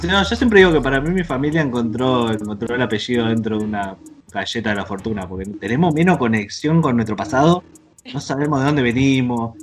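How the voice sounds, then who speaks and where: A man's voice: medium pitch, fairly quick. A man with a Rioplatense Spanish accent hosting a podcast, rambling and joking in casual chat. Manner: rambling, joking, lively with frequent laughs. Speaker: podcast host.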